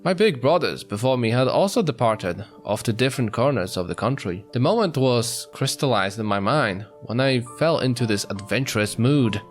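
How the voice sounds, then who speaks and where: A man reading a visual novel aloud in an expressive narrating style. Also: medium pitch, moderate pace, hardly any pauses. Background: guitar music.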